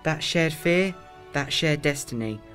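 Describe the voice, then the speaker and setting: A man's voice: fairly high pitch, animated, measured pace, a bit bright, soft background music. A man acting a lonely, regretful immortal in an audio drama, gloomy and understated. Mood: earnest, regretful.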